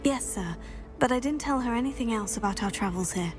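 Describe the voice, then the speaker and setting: A woman's voice: fairly high pitch, animated, a little fast, music in the background, lightly acted. An actress playing a polite, discreet teenage witch student in a video game, speaking in an understated way. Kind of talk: video game cutscene acting.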